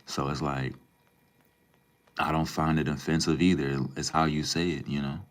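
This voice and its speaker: Young man from Minnesota, extremely low voice; relaxed live-stream banter with chat. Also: normal speed. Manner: laid-back, relaxed slang, calls viewers bro and man. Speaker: livestreamer. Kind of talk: casual live stream chat, reading and answering viewer comments.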